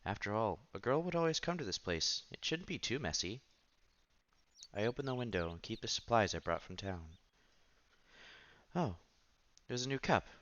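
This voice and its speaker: Low-voiced man reading a visual novel aloud. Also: lively intonation, normal speed, pauses often, a bit bright. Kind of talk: playthrough narration, reading story text aloud with casual reactions. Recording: dull lo-fi sound with game music behind. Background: visual novel background music.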